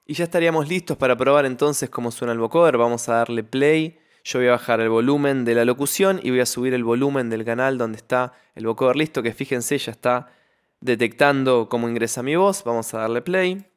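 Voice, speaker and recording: A man with a medium-pitched voice narrates a software tutorial. He explains each step methodically, close to the microphone. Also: fairly quick, clear, resonant tone. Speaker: tutorial presenter. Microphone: close microphone voice-over.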